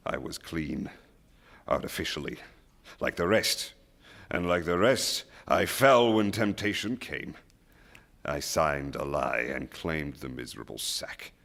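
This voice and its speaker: An actor playing a feverish, penitent old man on his deathbed in a stage radio drama, speaking in halting, anguished declamation. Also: extremely low pitch, creaky, a bit bright, exaggerated voice. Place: theatre stage before a live audience.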